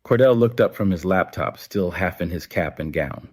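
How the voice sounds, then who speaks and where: A man's voice: sits low, animated, moderate pace. A man narrating a scripted audio story.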